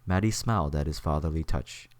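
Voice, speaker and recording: A man with a deep voice narrates a story from a script. He serves as the narrator of an audio drama. The recording is close and dry, with no room sound. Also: brisk, no room sound.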